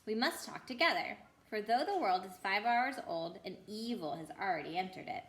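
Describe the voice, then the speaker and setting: A woman voicing a majestic, kindly male lion ruler in a storybook, with solemn, measured proclamations. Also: medium pitch, lively intonation, echoey room, lightly acted. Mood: grave, commanding. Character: majestic, strong, happy male lion, gravely kind ruler.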